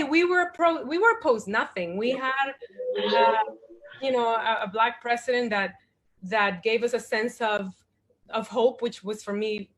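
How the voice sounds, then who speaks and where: A woman's voice: fairly high pitch, a bit bright. A woman in her forties from the Dominican Republic, a guest at a panel discussion, speaking thoughtfully with many fillers and restarts.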